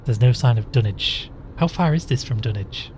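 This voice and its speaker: An actor playing an earnest young male investigator in an audio drama, narrating and questioning in a measured, deliberate, inquisitive way. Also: medium pitch, expressive, darkish tone, a little hiss or hum, lightly acted. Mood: observant.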